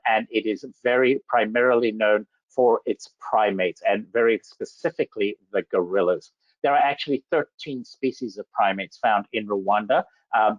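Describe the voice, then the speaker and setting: A man's voice: medium pitch, no echo. Enthusiastic man from Zambia presenting safaris. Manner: enthusiastic, full of superlatives. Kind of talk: slide presentation to travellers. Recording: dull lo-fi dry audio.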